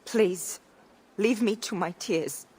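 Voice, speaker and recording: A woman's voice: mid-range voice, slow pace, mellow, a little hiss or hum, lightly acted. An actress playing a grieving, dignified mother in a video game, speaking sorrowfully. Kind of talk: video game dramatic acting.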